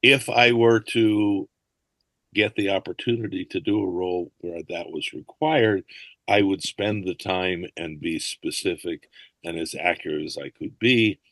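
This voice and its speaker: A man's voice: low-pitched, fairly even intonation, a little slow, a bit bright, dry recording. An older man chatting as a podcast guest, telling rambling stories and dropping into accent impressions now and then. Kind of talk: casual interview chat.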